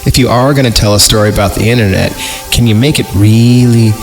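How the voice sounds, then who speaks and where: A man's voice: lowish voice, fairly quick, soft background music, lightly acted. Man voicing a skeptical young boy. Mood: unimpressed. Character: skeptical, teasing young son of a storyteller.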